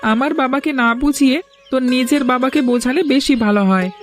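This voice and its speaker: A woman's voice: high-pitched, brisk, soft background music, natural voice. A woman voicing a timid, kind young village boy in a cartoon, talking in a cautious, worried manner. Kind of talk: cartoon voice acting.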